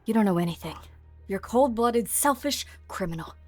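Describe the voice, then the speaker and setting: A woman's voice: medium pitch, animated, brisk, no echo, natural voice. A woman voicing a hard-nosed police officer with a commanding, bitter edge. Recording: dry close mic, faint music.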